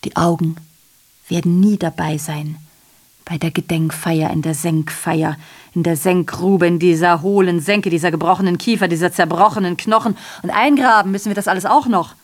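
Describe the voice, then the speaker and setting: A woman's voice: on the low side, steady tone, normal speed, lightly acted. Actress as a sardonic, aggrieved woman messenger. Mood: bitter, insistent. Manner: rhetorical, self-correcting, digressive monologue. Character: sardonic, aggrieved female messenger reporting on killings. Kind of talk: radio drama monologue.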